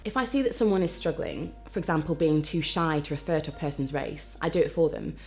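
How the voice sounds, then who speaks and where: A woman's voice: deep voice, fairly quick, vocal fry, darkish tone, soft background music. A woman from England speaking directly and assuredly in a corporate testimonial.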